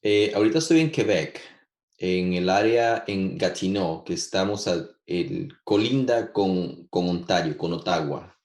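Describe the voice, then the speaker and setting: Male guest, low voice, halting speech, echoey room. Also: lively intonation, darkish tone. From El Salvador. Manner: halting, with many restarts and filler words. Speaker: guest composer. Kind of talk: casual interview answers.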